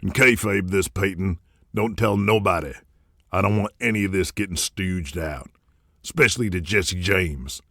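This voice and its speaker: A man's voice: deep voice, normal speed, creaky, a bit bright, no room sound, clean studio sound, heavily acted. A man playing a scheming, foul-mouthed wrestling promoter in an audio drama, talking in a conspiratorial, folksy and crude way. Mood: conspiratorial glee.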